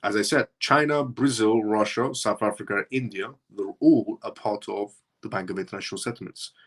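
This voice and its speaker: A man's voice: lowish voice, a little fast. A man originally from Iran, hosting a live show and talking through his points in a rambling way that circles back on itself. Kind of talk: casual livestream commentary.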